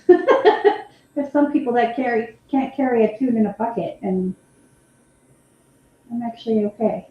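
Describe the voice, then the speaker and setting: A woman's voice: fairly high pitch, warm tone. A woman co-hosting a live painting stream, chatting in a rambling way and laughing often. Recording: very echoey, dull lo-fi sound.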